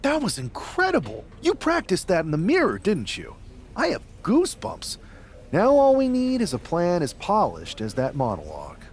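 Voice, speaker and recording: A man's voice: sits high, wildly swooping pitch, normal speed, breathy edge, full character voice. A man playing a wry, teasing team leader in a video game cutscene, with playful sarcasm.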